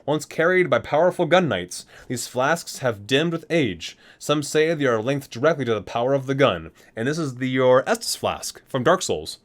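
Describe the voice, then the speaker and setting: A man's voice: mid-range voice, animated, moderate pace, hardly any pauses. A man hosting an ASMR video, reading guidebook entries aloud and sometimes stumbling and correcting himself.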